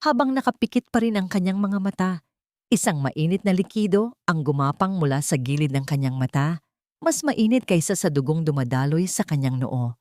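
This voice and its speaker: Low-voiced woman narrating a dramatic story. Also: lively intonation, normal speed, mellow, no echo, clean studio sound.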